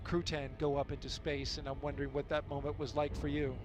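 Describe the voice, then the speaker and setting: A man's voice: on the high side, flat delivery, a little fast, music in the background. A man hosting a live event broadcast, presenting to viewers and introducing guests. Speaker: broadcast host. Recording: clear outdoor vehicle and ambient noise.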